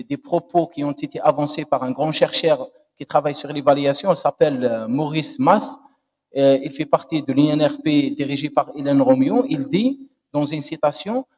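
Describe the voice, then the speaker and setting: A man's voice: fairly high pitch, darkish tone. Man defending his thesis, reverberant hall. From Algeria. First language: Algerian Arabic. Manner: measured, explanatory academic tone. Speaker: doctoral candidate. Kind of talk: answering a thesis jury. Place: university lecture hall.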